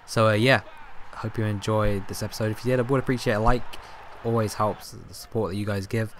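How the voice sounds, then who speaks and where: A young man with a hoarse, fading voice, chatting casually over his football game footage, rambling with lots of ums and restarts. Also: on the low side, steady tone, fairly quick, slight background noise. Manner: rambling, filler-heavy, self-correcting. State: hoarse, coughing, voice going. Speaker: gaming YouTuber. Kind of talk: casual gameplay commentary.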